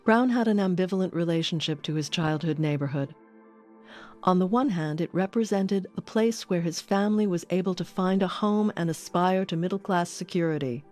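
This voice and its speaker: A woman's voice: fairly low pitch, normal speed, a little mellow, soft background music. A woman reading scripted narration as the host of an audio documentary.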